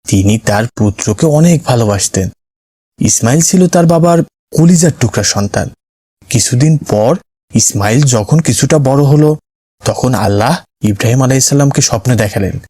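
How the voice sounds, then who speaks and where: A man's voice: medium pitch, dark tone, husky, dry recording, close to an everyday voice. A man voicing a patient, devout father who explains and tells stories to his son in an Islamic cartoon. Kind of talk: Islamic cartoon dialogue, storytelling.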